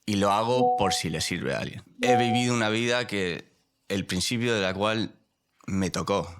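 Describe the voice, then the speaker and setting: A man born in Spain and raised in the United States, a podcast guest speaking in a measured, reflective, confessional way. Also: on the low side, background noise. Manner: measured, reflective, choosing words carefully.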